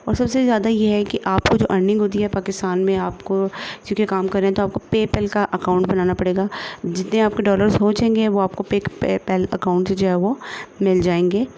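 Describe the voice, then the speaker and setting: Monotone Pakistani woman, noisy recording. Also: medium pitch. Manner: halting, repetitive filler phrases. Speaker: tutorial presenter. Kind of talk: informal screen-share tutorial.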